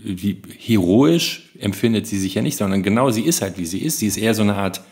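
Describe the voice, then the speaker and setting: A man's voice: on the low side, animated, a little fast, a little mellow. A man in casual discussion, tentatively correcting himself as he goes. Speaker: podcast panelist.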